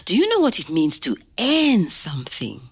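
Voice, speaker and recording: An older woman telling a family story to a child, slow and expressive, pausing to ask gentle questions. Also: on the low side, slightly rough. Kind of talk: oral history storytelling to a child.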